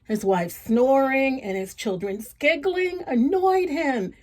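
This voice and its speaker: A woman reads aloud to young children in a fairly high voice with a husky, breathy edge. She takes her time, gentle and expressive, and chats to her listeners along the way. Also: slow. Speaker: storyteller. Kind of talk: read-aloud storytelling for children.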